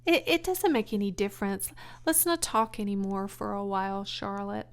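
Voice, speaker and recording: A woman's voice: medium pitch, moderate pace, clean tone, close to an everyday voice. A woman voicing a naive, boastful young male pig in a children's storybook, earnest and childlike. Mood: sleepy, deflated.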